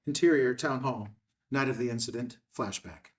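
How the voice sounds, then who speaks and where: A man's voice: medium pitch, normal speed, distant mic. A man reading scene headings and stage directions in a clipped, scripted narration at a table read.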